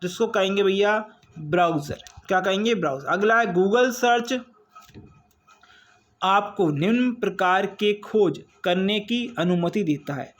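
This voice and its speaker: A man's voice: sits high, a little slow, a bit bright. A man with an Indian accent giving an informal exam-prep lesson, reading out quiz questions and explaining them with repeated check-in questions. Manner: repetitive, checks in with listeners using questions and frequent tags. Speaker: online exam-prep teacher.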